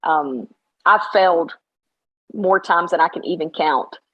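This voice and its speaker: A middle-aged woman basketball coach, slightly hoarse after practice, talking in an emphatic, motivational way in a casual interview. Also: on the low side, a little slow, muffled recording.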